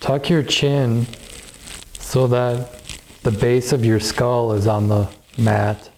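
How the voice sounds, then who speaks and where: Slow, mellow male yoga teacher. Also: medium pitch, poor audio. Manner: gentle, calm, measured guiding with pauses. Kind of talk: guided yoga and relaxation instruction.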